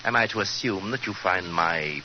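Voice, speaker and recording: An actor playing a haughty, insulting British aristocrat with a florid theatrical drawl in a 1940s radio drama. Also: male voice, sits low, normal speed, sharp tone. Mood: haughty, mocking. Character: sardonic, insulting, self-absorbed aristocratic gentleman. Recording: muffled, noisy 1940s radio recording.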